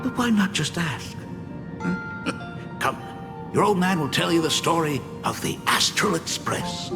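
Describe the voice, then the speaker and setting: A man's voice: fairly high pitch, very animated, a little slow, gravelly, exaggerated voice. Playful, fatherly storyteller in long reverb. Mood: playful, fond. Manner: warm, playful, indulgent storyteller. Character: gentle, playful storytelling father, fond of his child. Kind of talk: dramatic video game voice acting. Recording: long reverb, music under the voice. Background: music.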